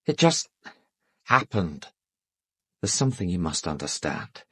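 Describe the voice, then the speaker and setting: A well-educated English gentleman in a radio drama. His voice is fairly low and noticeably husky, recorded dry. He is polite but guarded and defensive, with a weary, wry self-deprecation. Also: very animated, a little slow, no room sound, full character voice. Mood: wry, confessional. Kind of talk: British radio drama acting.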